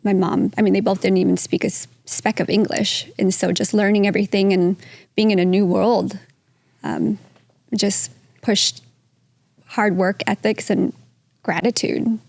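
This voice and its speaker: A woman guest in a podcast interview whose first language is Vietnamese. She talks in a soft-spoken, earnest way with some fillers. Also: medium pitch, steady tone, a little slow, creaky. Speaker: guest, real estate entrepreneur. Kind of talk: casual interview conversation.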